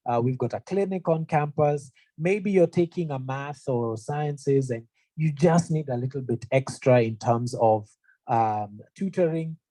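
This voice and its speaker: A man's voice: medium pitch, a little slow, warm tone, dry recording. A young man from Kenya giving an earnest, conversational presentation to prospective students, with frequent fillers. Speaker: university representative. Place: room presentation, also streamed online.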